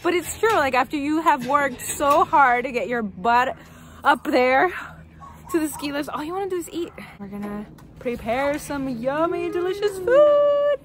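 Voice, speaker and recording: High, animated young woman vlogger. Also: measured pace. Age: young adult. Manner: exuberant, whooping, playful. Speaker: vlog host. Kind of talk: casual excited vlog chat to camera. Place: outdoors on roadsides and in car parks. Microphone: handheld camera at arm's length. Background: music.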